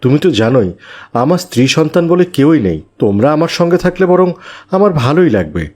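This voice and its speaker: Fatherly rich businessman, played by a man, lively swooping intonation, slightly high male voice, sympathetic cartoon delivery. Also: quick pace. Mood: generous, reassuring. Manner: gentle, sympathetic, expressive. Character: kindly, wealthy, fatherly businessman. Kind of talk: children's moral cartoon dub.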